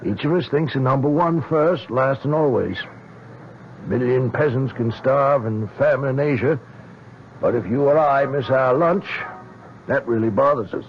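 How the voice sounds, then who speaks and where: A man's voice: medium pitch, normal speed, close to an everyday voice. Casting: male actor as concerned small-town sheriff, plain-spoken, mid-range voice, vintage radio play. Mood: puzzled. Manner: hesitant, plain-spoken, friendly. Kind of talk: 1970s radio drama acting. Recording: muffled, hissy old radio broadcast.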